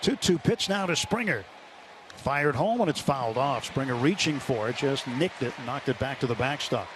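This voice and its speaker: A man's voice: medium pitch, lively intonation, moderate pace. A man calling live baseball play-by-play as a radio broadcaster. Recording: loud ballpark crowd noise behind. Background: stadium crowd and ambience.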